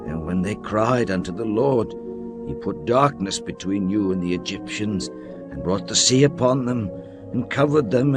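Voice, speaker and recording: A stately, commanding leader addresses the gathered tribes, voiced by a man. The delivery is solemn and measured, in the manner of a dramatized scripture. Clear music runs behind the voice. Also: medium pitch, natural voice.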